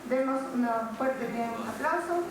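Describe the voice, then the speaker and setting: A woman's voice: high voice, measured pace. A woman moderator formally introduces a conference speaker, reading out his credentials. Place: large university auditorium. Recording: echoey hall with a noisy audience.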